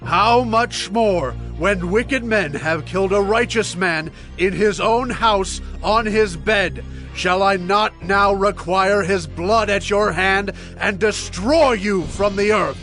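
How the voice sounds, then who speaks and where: A man's voice: sits high, takes it slowly, hardly any pauses, a bit bright. An actor playing a grieving, righteous warrior king in dramatized scripture, declaiming with solemn emphasis. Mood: stern, wrathful. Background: music.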